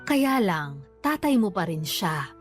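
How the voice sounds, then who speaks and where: An actress with a Filipino accent playing a worried, forgiving middle-aged mother in a radio drama, pleading and gently reproving. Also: lowish voice, sing-song, slow, faint music behind.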